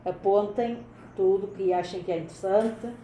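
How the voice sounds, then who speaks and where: A woman from Portugal with a Portuguese accent, a teacher interpreting for her class in a brisk, explaining way. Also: medium pitch, steady tone, dark tone. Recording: echoey classroom with faint noise.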